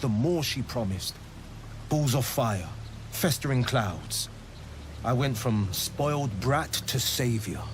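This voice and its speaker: An actor playing an earnest, haunted monster hunter in a video game, speaking in a measured, solemn, confessional way. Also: male voice, mid-range voice, natural voice. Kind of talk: dramatic video game monologue.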